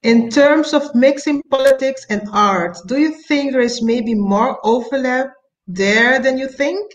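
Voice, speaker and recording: An older woman from Curaçao hosting an interview over a video call, speaking hesitantly with many pauses and rephrasings. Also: sits high. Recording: dull lo-fi call audio.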